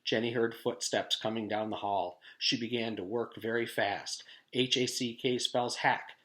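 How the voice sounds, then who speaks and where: A man's voice: mid-range voice, steady tone, moderate pace, fairly bright tone. Male teacher reading a storybook aloud. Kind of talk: storybook narration with informal introduction.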